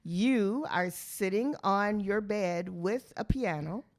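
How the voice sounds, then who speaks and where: A woman, the host's mother and manager, asking her daughter questions in a warm, motherly way on a casual podcast. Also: mid-range voice, takes it slowly. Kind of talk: casual interview chat.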